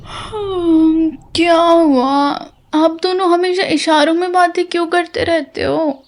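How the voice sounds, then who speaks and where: A woman's voice: extremely high pitch, fairly even intonation, slow. A woman playing a childlike, puzzled young wife in an audio drama. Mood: sleepy, puzzled.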